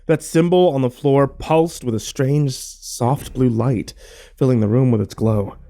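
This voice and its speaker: A man playing a grim, fearful survivor, narrating his ordeal in a brooding, measured first-person horror style. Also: medium pitch, warm tone, natural voice. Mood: grim, uneasy.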